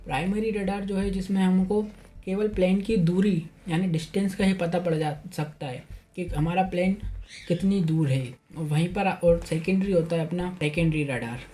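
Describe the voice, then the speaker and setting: A man's voice: sits high, moderate pace, dark tone. A man presenting an informal educational explainer, talking through points repetitively and addressing listeners as friends. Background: faint music.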